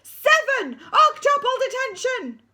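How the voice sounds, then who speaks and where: A woman's voice: extremely high pitch, very animated, slow pace, sharp tone, voice pushed up, full character voice. A woman voicing a furious old female deputy head teacher who shrieks and bellows detentions. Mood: ranting.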